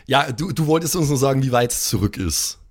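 Animated man playing a tabletop game. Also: medium pitch, brisk. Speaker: role-play podcast player. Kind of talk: casual game chat.

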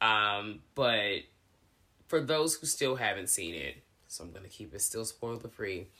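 A relaxed podcast host in a bright, ringing voice at a middle pitch. The talk is loose, unhurried and casual, with swearing dropped in freely. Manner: laid-back, swears freely, says y'all. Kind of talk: casual horror podcast chat.

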